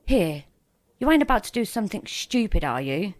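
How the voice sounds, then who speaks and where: An actress playing a gruff, sharp-tongued older tavern keeper in a period drama, blunt and scolding. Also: female voice, mid-range voice, sing-song, moderate pace, natural voice. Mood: suspicious. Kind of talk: theatrical period drama acting.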